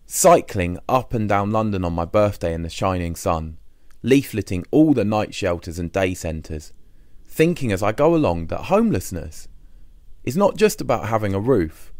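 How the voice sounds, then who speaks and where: A man narrating an audiobook diary in the first person, expressive and measured, with short pauses between phrases. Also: fairly low pitch, dry recording, clean studio sound. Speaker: audiobook reader.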